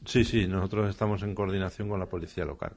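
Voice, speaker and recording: A man's voice: low voice, steady tone, quick pace, darkish tone. A middle-aged man, an enforcement officer, giving plain, hesitant and official-sounding interview answers.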